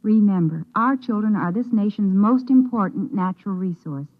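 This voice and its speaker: A woman's voice: mid-range voice, lively intonation, normal speed. American woman, 1950s radio public service spot. Earnest, instructive, scripted; very thin, phone-like vintage recording. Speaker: singing schoolteacher giving a public service message.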